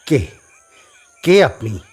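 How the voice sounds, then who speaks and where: A man's voice: medium pitch, sing-song, extremely slow, darkish tone, slightly rough, voice pushed up, heavily acted. A man playing a frightened, bewildered man who stammers, in a horror audio drama. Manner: stammering, fearful. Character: frightened, bewildered man confronting an eerie holy man.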